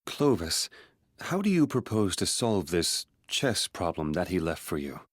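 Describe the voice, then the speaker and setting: An actor playing a shrewd, courteous elder-brother prince and statesman in an English anime-dub audio drama, measured and diplomatic. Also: sits low, very animated, no room sound, clean studio sound, exaggerated voice.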